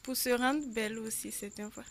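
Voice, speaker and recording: Brief: female studio guest, high and animated, slow delivery, noisy room. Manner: chatty, answering quiz questions.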